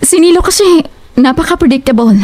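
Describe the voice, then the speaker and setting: A woman's voice: sits high, animated, brisk, a little mellow, no echo. A woman with a Filipino accent acting a firm, fed-up young woman in an audio drama. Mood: dismissive. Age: young adult.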